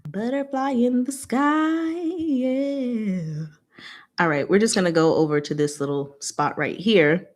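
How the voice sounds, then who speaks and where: A woman's voice: on the high side, takes it slowly. A woman hosting a casual book discussion, explaining things informally with playful, rambling asides.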